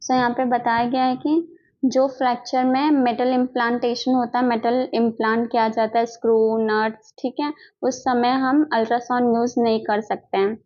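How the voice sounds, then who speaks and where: A woman's voice: fairly high pitch, darkish tone. A woman with an Indian accent teaching a lesson, reading out notes and explaining them step by step, often repeating herself with little check-in tags. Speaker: physiotherapy teacher. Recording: dull lo-fi microphone sound.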